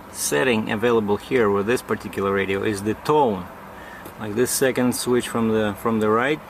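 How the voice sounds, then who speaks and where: A man with a fairly low, creaky voice talks through a hands-on demonstration. His delivery is informal and halting, working things out aloud as he goes. The amateur recording has clear background noise. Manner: halting, improvised, thinking aloud. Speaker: demonstrator giving setup instructions.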